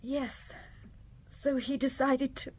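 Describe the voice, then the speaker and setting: An actress playing a fragile, guilt-ridden woman in a radio drama, hesitant, faltering and anguished, her voice breathy and husky. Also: high-pitched, fairly even intonation, slow, a little mellow, heavily acted, rough recording. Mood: sad, subdued.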